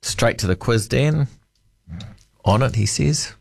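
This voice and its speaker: A man with a New Zealand accent hosts a late-night talkback show, talking in a dry, offhand, chatty way. His voice sits at an ordinary pitch and is recorded close and completely dry in the studio. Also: takes it slowly, gravelly, no echo. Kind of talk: casual talkback radio chat. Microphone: studio broadcast mic.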